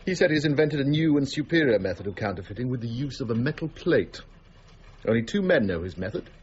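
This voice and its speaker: A formal gentleman, acted by a man, concerned and probing, speaks in a period radio drama. His mid-pitched voice is measured in wording yet animated in melody. The recording is dull with faint noise. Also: lightly acted. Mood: insinuating.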